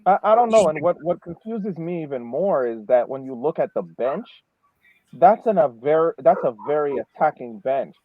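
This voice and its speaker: A man's voice: a little high, expressive, normal speed, no echo, narrow, tinny audio. A man co-hosting a sports podcast, chatting casually about soccer and often repeating words as he starts his sentences. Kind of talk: casual soccer analysis chat.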